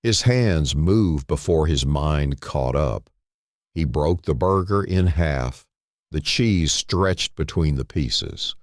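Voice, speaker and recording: A man with an extremely deep voice reads a dramatic story. He takes it slowly and solemnly, parcelling it out in short phrases with pauses between them. The sound is dry, with no room around it. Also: no echo. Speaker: narrator. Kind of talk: dramatic scripted story narration.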